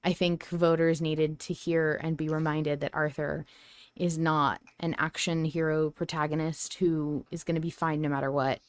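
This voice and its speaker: Female podcast host, low register, dry close sound, candid and reflective chat. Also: no room sound. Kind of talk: casual podcast discussion.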